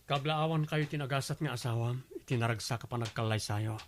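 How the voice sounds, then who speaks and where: A man's voice: medium pitch, a little fast, background noise, lightly acted. A man playing a remorseful young ex-boyfriend in a radio drama, speaking earnestly with an Ilocano Filipino accent. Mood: resigned, polite. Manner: earnest, pleading.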